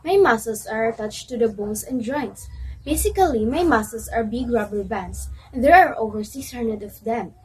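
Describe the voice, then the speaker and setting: A woman's voice: a little high, lively intonation, moderate pace, husky. A woman playing a proud muscular system that testifies and explains its importance in an amateur educational reader's theater play. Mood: boastful, explanatory.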